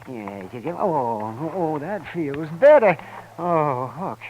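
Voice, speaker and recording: An actor playing a fussy, excitable older frontier doctor in a 1950s radio drama. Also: male voice, fairly high pitch, slow, slight background noise, poor audio. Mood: grumbling.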